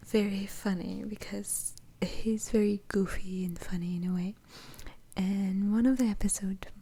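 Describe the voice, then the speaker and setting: A woman's voice: medium pitch, warm tone, slightly rough. A woman whispering close to the mic in a casual ASMR chat, giggling often and rambling with fillers.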